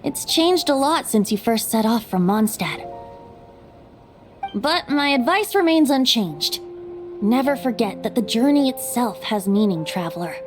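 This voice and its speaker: Boyish young bard from a fantasy game, playful but wise, really swoopy delivery, music behind. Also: female voice, a little high, animated, measured pace. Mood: gentle, wistful. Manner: playful yet reflective, theatrical lilt. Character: whimsical, worldly-wise young male bard, secretly a god. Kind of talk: English-dubbed fantasy game dialogue. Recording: music under the dialogue.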